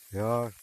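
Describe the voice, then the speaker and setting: A man's voice: mid-range voice, creaky, fairly bright tone, slightly rough. A young man with a Swiss German accent, nursing a cold, chatting playfully in character as a barber while playing a game, teasing and tagging sentences with a questioning "hä?". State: has a cold. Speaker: gamer streaming a VR barber game. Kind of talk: playful in-character gameplay commentary.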